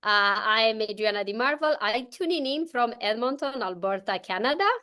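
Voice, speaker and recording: A woman from Romania with a Romanian accent, hosting a casual online group call, bubbly and quick to laugh, often restarting her sentences. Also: a little high, lively intonation, pushed and bright. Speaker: host.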